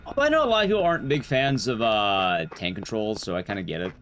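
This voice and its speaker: A man's voice: on the low side, very animated, fast, slight background noise. A man streaming a game, giving casual, rambling commentary to his chat with playful asides. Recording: loud music under the voice. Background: game and stream music.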